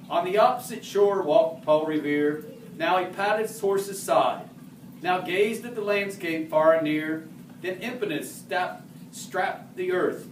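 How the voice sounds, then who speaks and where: Echoey, higher-voiced man reciting a poem. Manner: halting on harder words, stumbling and correcting himself. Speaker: amateur reader in the role of a historical horseman. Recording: roomy, distant mic, faint background noise.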